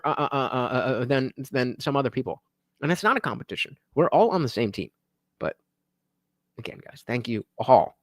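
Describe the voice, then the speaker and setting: A man hosting a live stream, reacting to a debate clip with quick, profane asides. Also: medium pitch, dry recording, studio quality.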